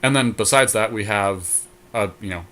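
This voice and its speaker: A man's voice: lowish voice, moderate pace, fairly bright tone. A man co-hosting a casual podcast chat about a card game, thinking aloud as he goes. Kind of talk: casual back-and-forth chat while building a card deck.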